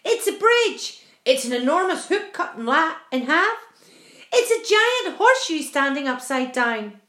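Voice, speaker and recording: Excitable talking insect voiced by a woman, storybook creature, loud exclamations, high-pitched, room echo. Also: a little slow, heavily acted. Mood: amazed. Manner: exclaiming loudly.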